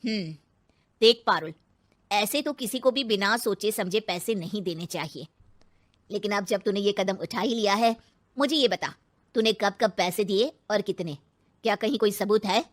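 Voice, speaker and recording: A woman's voice: mid-range voice, moderate pace, lightly acted. A woman voicing a practical female lawyer friend in a story, firm, probing and advisory.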